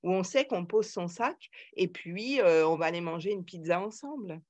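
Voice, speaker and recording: An older woman, answering conversationally in an interview, emphatic and reflective, pausing as she searches for words. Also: fairly low pitch, darkish tone, muffled recording. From Paris, France. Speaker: psychoanalyst and author, podcast guest.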